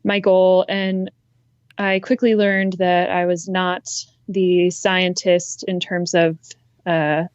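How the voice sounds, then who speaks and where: A young woman from Ohio, a conservationist, talking in a relaxed, reflective way as a guest in an interview. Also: fairly low pitch, slow, clear, resonant tone, no echo, muffled recording. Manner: relaxed, reflective, frequent ums. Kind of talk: casual interview conversation.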